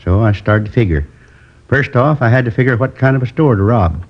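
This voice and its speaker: A lonely old drifter, wistful. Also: male voice, mid-range voice, animated. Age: 70s. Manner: slow, rambling, wistful confession. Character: lonely old male drifter turned robber. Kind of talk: 1950s radio drama acting. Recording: dull old radio broadcast.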